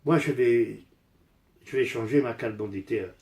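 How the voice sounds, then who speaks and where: A man's voice: medium pitch, normal speed, darkish tone. An older man from Italy speaking French with an Italian accent, rambling casually and bluntly while doing repairs, repeating phrases for emphasis. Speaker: amateur DIY vlogger. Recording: roomy echo.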